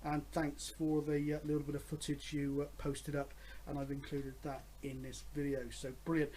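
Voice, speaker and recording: A man in his fifties with a fairly high voice chats to his viewers about his hobby. His delivery is rambling and hesitant, with an enthusiastic, conversational feel. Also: completely flat delivery, normal speed, mellow, slight background noise, low-quality recording. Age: 50s. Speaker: host of a radio hobby vlog. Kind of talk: casual, rambling chat to camera.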